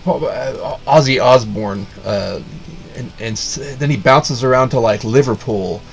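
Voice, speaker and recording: A man's voice: medium pitch, slow pace, gravelly. Sarcastic man ranting in a casual review. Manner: sarcastic, rambling, full of fillers and restarts. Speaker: video reviewer.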